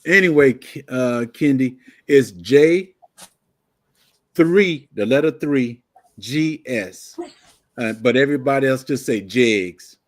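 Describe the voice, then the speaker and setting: An older man, in a voice of middling pitch. He talks good-naturedly on a livestream, stammering and starting his sentences over. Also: expressive, takes it slowly. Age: 60s. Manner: stammering restarts, Southern vernacular, good-natured. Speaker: livestream co-host. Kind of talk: casual livestream chat.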